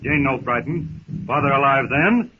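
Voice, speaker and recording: An actor playing a primitive, loyal ape-man hero who speaks in halting, clipped broken phrases, in 1930s radio style. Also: medium pitch, animated, husky. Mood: calm, steady. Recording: muffled, scratchy 1930s radio recording.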